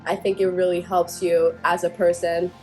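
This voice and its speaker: A woman's voice: on the low side, little pitch movement, normal speed, a little mellow, soft background music, rough recording. A young woman student from Haiti, talking earnestly and reflectively about her research. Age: young adult.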